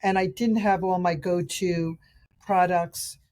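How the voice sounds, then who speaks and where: A middle-aged woman with a raspy voice from a cold, hosting a podcast outdoors in chatty, rambling talk. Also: fairly low pitch, steady tone, takes it slowly, darkish tone. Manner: chatty, rambling, with restarts and fillers. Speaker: podcast host and nutrition practitioner. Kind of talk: casual solo podcast talk reviewing products.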